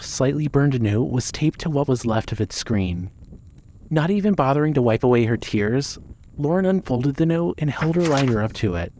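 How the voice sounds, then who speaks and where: Male narrator, medium pitch, solemn, measured, scripted horror fiction, faint background noise. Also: darkish tone. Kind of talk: scripted dramatic story narration.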